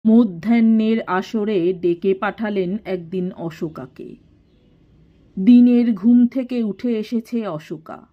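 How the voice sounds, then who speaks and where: A woman reading a literary story in a measured, expressive storytelling manner. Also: medium pitch, highs cut off. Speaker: story narrator. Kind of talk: scripted literary audiobook narration.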